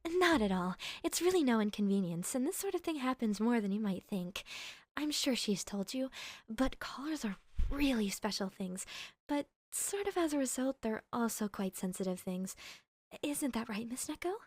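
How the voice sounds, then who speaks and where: High-pitched perky shop cashier, played by a woman. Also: lively intonation, moderate pace, darkish tone, no echo. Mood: accommodating. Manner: courteous, accommodating, perky. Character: polite, chatty young female shop cashier. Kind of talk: roleplay audio acting, customer-service patter.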